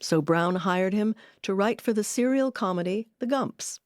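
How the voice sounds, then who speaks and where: A woman hosting and narrating a documentary about radio history, with a measured storytelling delivery. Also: mid-range voice, a little mellow, dry recording, studio quality.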